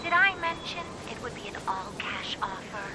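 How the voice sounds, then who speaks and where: An actress playing a pushy, familiar real estate agent on a phone call in a video game, persistent and persuasive. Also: female voice, medium pitch, lively intonation, normal speed, heavy background noise. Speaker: realtor.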